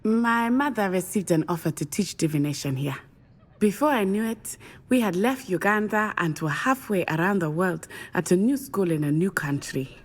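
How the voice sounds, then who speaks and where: Lively teenage witch, East African accent. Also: fairly low pitch, moderate pace. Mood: friendly, encouraging. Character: friendly, confident teenage witch from Uganda. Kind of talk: video game character acting.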